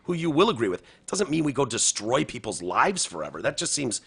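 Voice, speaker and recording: Swearing, animated podcast co-host man. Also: medium pitch, brisk, vocal fry, breathy edge. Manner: animated, swears freely, breaks into mocking impressions. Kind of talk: casual political banter.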